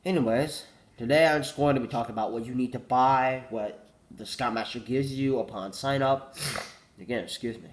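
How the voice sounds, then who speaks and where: A man's voice: mid-range voice, normal speed. A teenage boy with a cold, congested and coughing, rambling casually and correcting himself, saying "like" a lot. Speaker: vlogger.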